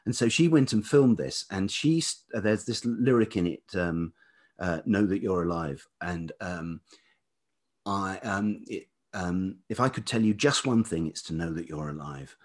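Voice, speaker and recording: Low, husky middle-aged Englishman. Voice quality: velvety. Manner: hesitant, halting, circling back. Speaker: podcast guest, writer and musician. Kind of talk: reflective conversational storytelling.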